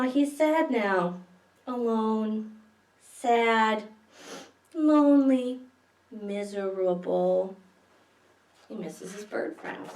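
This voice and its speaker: A woman's voice: on the high side, darkish tone. A woman reading picture books aloud to young children as a library story time host, expressively, with questions to her listeners. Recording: echoey room, distant microphone.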